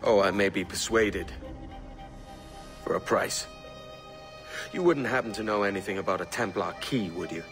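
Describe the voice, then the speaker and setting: An actor playing a self-assured pirate assassin for hire in a video game, blunt and cocky. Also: male voice, fairly low pitch, moderate pace, long pauses, a bit bright, faint music behind, natural voice. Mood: sly, confident. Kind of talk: video game drama acting.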